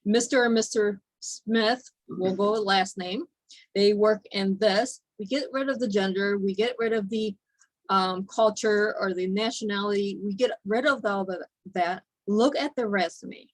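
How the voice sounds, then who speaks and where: A woman hosting a radio interview show, talking in a direct, brisk way. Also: medium pitch, no room sound, rough recording. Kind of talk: conversational interview.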